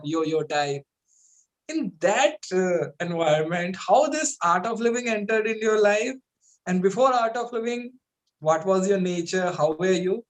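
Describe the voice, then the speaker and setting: A man's voice: high voice, rough recording. A man hosting an interview, asking loosely phrased, informal questions. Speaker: host. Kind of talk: casual interview chat.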